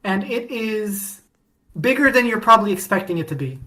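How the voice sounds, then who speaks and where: A woman chatting as a podcast guest, rambling with many restarts and sudden bursts of excitement. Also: mid-range voice, normal speed. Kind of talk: casual podcast chat.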